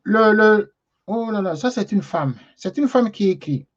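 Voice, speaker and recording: A man's voice: high voice, lively intonation, measured pace, lo-fi sound. A man hosting a television talk show, reading out and answering viewers' messages with many hesitations. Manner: hesitant, many fillers and restarts.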